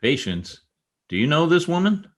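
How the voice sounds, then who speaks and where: A man acting an exasperated newspaper reporter in an old-time radio comedy. His husky voice swings widely in pitch as he tosses out slangy wisecracks. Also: very animated, moderate pace. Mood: firm, impatient.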